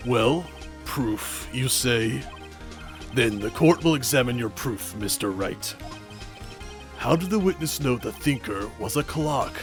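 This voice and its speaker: A man plays a stately courtroom judge in a video game. He speaks formally and patiently, with measured authority, and music sits faintly behind him. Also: mid-range voice, lively intonation. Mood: curious, formal. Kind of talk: improvised video game voice-over.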